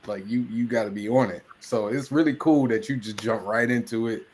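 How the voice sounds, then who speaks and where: A man hosting a podcast, loud and chatty, telling stories with lots of "you know" and "like.". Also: medium pitch, brisk, a little hiss or hum. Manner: loud, chatty, rambling with fillers. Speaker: podcast host. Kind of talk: casual podcast chat.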